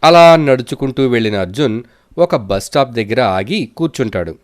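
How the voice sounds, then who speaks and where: A man's voice: mid-range voice, lively intonation, normal speed. Male storyteller reading moral tales. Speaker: storyteller narrator. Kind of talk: scripted narration of children's moral stories.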